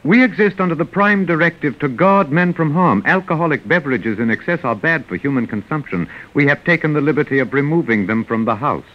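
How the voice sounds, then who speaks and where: An actor playing a polite, implacable humanoid robot servant, formal and servile, in 1950s radio drama style. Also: male voice, on the high side, expressive, fairly quick, few pauses, lightly acted. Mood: servile, firm. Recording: dull vintage 1950s radio recording.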